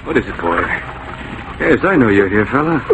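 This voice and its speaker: An actor playing a steady, authoritative Mountie sergeant with a deliberate delivery in a 1940s American radio drama. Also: male voice, on the low side. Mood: attentive. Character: steady, commanding Mountie sergeant, fond of his dog. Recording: noisy vintage 1940s radio recording.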